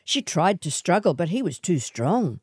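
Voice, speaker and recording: A woman reading a literary short story in a measured, atmospheric way. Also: on the low side, big pitch swings, darkish tone, slightly rough, no room sound, clean studio sound.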